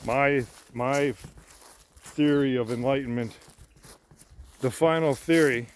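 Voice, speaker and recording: A man rambling casually as he walks outdoors, boastful and self-assured, halting and repeating himself as he muses. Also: medium pitch, slight background noise. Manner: halting, repeats and trails off, musing, swears casually. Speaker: vlogger sharing personal philosophy. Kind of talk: rambling casual monologue while walking. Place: outdoors in woodland by a pond, walking.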